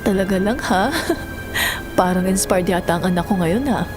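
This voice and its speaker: A woman's voice: fairly low pitch, fairly even intonation, normal speed, music in the background, natural voice. A woman acting a loving, doting mother in a Tagalog audio drama, speaking tenderly. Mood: fond, playful. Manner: tender, mixes in English phrases.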